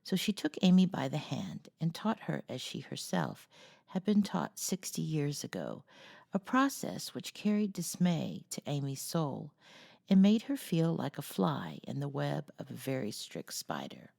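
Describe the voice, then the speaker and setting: Low, very creaky woman storyteller. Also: big pitch swings, a little mellow. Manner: measured, cozy read-aloud delivery. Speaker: storyteller, host of a read-aloud series. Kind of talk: expressive storybook narration of a classic novel.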